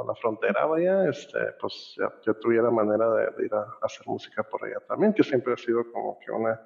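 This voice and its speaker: A man from Costa Rica hosting a relaxed interview, chatty and quick to laugh, slipping in English phrases. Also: mid-range voice, vocal fry, lo-fi sound. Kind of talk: casual conversational interview.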